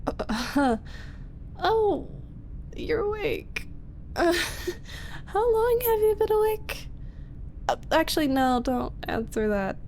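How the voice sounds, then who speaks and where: A young woman voicing a shy roommate who secretly runs an ASMR channel, speaking softly in half-whispers with frequent small laughs and halting pauses. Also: high-pitched, slight background noise, heavily acted. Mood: flustered. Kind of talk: ASMR audio roleplay.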